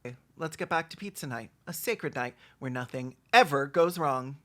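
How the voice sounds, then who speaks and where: A commentator giving sarcastic comedic reactions, campy and theatrical, with deadpan asides and mock acting. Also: medium pitch, moderate pace. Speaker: video commentator.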